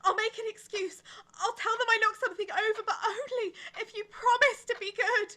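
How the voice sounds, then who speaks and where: Casting: actress as distressed, pleading woman in a radio play; very high, bright, tearful voice. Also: steady tone, a little fast. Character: distressed woman pleading with and placating her violent partner. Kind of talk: audio drama acting.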